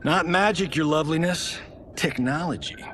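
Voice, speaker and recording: An actor playing a cocky, wisecracking show-off in a video game, smug and playful, in a noisy scene. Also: male voice, on the high side, normal speed, fairly bright tone, natural voice. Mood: smug, amused. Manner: smug, playful, drawling quips. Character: cocky, flippant wisecracking show-off action hero. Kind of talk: video game cutscene acting.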